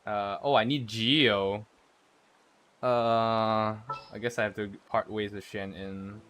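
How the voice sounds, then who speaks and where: A man's voice: on the low side, steady tone, slow, a bit bright, a little hiss or hum. Casual, slangy man streaming a game. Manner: slangy, offhand, muttering to chat. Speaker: livestreamer, VTuber. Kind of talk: casual gameplay stream commentary.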